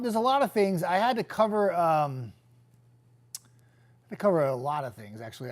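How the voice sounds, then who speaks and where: A young man, a TV weatherman who says he is getting sick and losing his voice, chatting casually with viewers and reading their comments. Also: on the high side. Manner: chatty, easygoing, trailing off into quiet asides. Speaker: TV meteorologist hosting a viewer chat.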